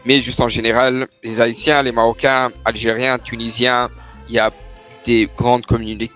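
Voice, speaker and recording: A man answers interview questions as an immigration lawyer, at a mid pitch. He explains step by step in a measured tone and often pauses on "euh". Quiet music runs in the background. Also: little pitch movement. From Canada. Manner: measured, explanatory, with frequent fillers.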